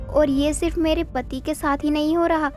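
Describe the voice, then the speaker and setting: A woman playing a troubled, respectful village wife in an animated moral story. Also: high-pitched, normal speed, faint music behind, natural voice. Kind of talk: animated Hindi story dialogue.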